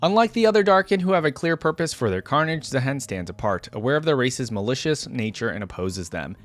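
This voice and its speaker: A man with a medium-pitched voice hosts a gaming reaction video. He moves between reading aloud and casual, appreciative commentary in an informal manner. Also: lively intonation, a little fast.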